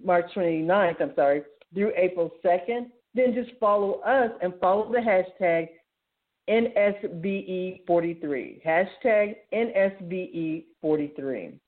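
A woman's voice: fairly low pitch, expressive, unhurried. A woman hosting a show, talking informally and enthusiastically as she walks listeners through announcements in a conversational way. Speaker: radio show host. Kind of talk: informal solo talk, announcing articles and events. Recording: thin, phone-like narrow-band sound.

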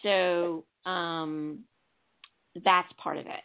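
A woman's voice: lowish voice, steady tone, very slow, vocal fry. A woman from Chicago hosting a call-in chat show, telling stories in a chatty, digressive way. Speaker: show host. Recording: phone-like narrow sound.

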